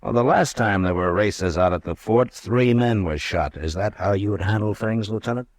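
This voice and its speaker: A man playing a calm, steady frontier marshal in a 1950s radio drama, measured and firm. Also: fairly low pitch, no room sound, studio quality, close to an everyday voice.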